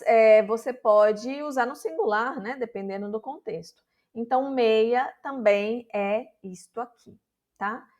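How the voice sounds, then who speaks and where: A woman with a Brazilian accent teaching an online lesson, explaining patiently and often checking in with her viewers. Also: a little high. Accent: Brazilian Portuguese. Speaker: Portuguese teacher.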